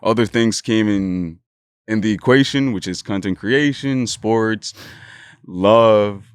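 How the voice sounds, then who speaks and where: A man's voice: lowish voice, takes it slowly, clear, resonant tone, no room sound, clean studio sound. A man in his early twenties from Honduras, a native Spanish speaker, chatting playfully and informally as a podcast guest. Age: early 20s. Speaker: podcast guest, content creator. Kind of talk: casual interview chat.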